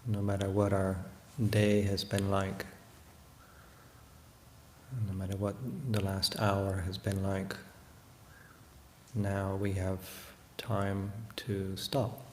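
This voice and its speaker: A man giving a guided meditation talk as a Buddhist teacher, unhurried and hesitant, often pausing to reflect. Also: deep voice, monotone. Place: room in a monastery. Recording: roomy echo, faint background noise.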